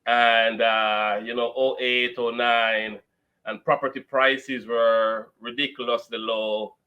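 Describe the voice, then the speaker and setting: A man's voice: medium pitch, pushed and bright. A man with a Jamaican accent giving his background as an investor guest in an interview. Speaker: real estate investor, podcast guest. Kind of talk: interview, recounting career background.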